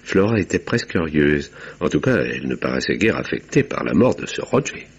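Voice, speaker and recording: An actor playing a sober, observant doctor who narrates a vintage radio mystery in a measured, literary way. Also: male voice, very deep voice, big pitch swings, slightly rough, highs cut off, exaggerated voice.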